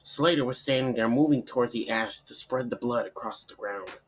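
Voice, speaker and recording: A man with a husky voice giving a dramatic, somewhat halting reading of a horror story as its narrator. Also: mid-range voice, a bit bright, slight background noise, rough recording.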